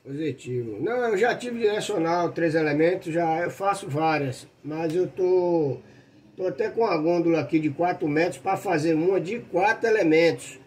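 A man's voice: a little high, moderate pace, a little mellow. A Brazilian man talking casually as an amateur operator, heard over a two-way radio. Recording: faint radio noise.

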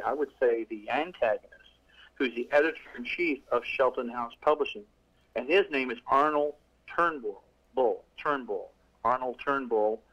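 A man's voice: medium pitch, highs cut off. A middle-aged male author talking casually in an interview, rambling with many restarts and fillers. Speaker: author guest.

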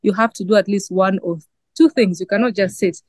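A woman's voice: mid-range voice, fairly quick, a little mellow, dry recording, clean studio sound. A young Ugandan woman journalist with a Swahili-influenced East African accent, answering interview questions thoughtfully with many fillers over a video call. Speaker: guest journalist. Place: outdoors by a garden.

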